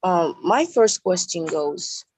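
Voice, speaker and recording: A woman's voice: fairly low pitch, lively intonation, takes it slowly, darkish tone, dry recording, rough recording. A woman with a Nigerian accent, a prospective student politely asking formal questions in an online meeting, often rephrasing them. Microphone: video call.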